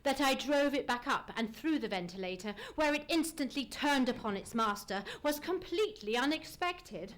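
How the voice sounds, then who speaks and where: A woman's voice: high-pitched, lively intonation, moderate pace, hardly any pauses. A woman acting a self-assured detective in a live old-time radio play, speaking in a precise, formal, theatrical way. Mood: dramatic, self-satisfied.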